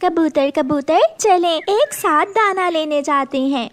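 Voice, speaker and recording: A woman's voice: extremely high pitch, slow, a little hiss or hum, pitched above the performer's own voice. A woman voicing a small mother sparrow in a children's cartoon, sweet and pleading but clever. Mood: sweet, hopeful.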